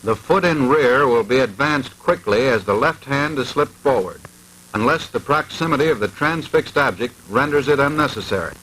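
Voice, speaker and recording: A man's voice: medium pitch, animated, moderate pace, a bit bright, poor audio. A man reading formal, precise scripted narration in the manner of a 1940s military instructional film.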